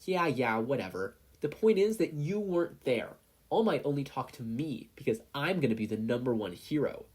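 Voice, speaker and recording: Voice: mid-range voice, big pitch swings, normal speed, husky. A story narrator voicing a brash, angry preschool boy, scornful and bragging. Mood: dismissive, bragging. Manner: shouty, scornful, indignant.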